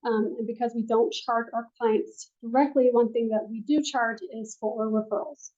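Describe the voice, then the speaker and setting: Soft-spoken woman teaching a money class. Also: on the high side, fairly even intonation, normal speed. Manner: soft-spoken, with frequent ums. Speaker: financial advisor teaching a class. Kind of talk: classroom presentation. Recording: dull lo-fi room recording.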